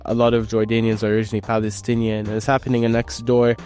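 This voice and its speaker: Young student guy, relaxed and thoughtful, little music under it. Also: lowish voice, fast talker. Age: young adult. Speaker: university student guest. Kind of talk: casual interview answers.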